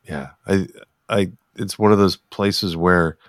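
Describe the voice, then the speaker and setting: Low-pitched man, halting casual interviewer. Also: no room sound, clean studio sound. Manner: halting, often hesitant phrasing. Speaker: interviewer, podcast host.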